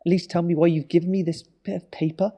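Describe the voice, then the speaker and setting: An actor playing an anxious, weary husband in a naturalistic audio drama, speaking in a wry, hesitant, conversational way. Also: male voice, high-pitched, flat delivery, fast talker, dark tone. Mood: worried, pleading.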